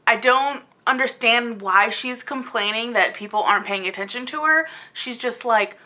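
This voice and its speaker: A woman's voice: a little high, moderate pace, bright, forceful tone. A woman giving a casual, opinionated review to camera in a blunt, exasperated, conversational way, leaning on words for emphasis. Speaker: book reviewer hosting a video channel.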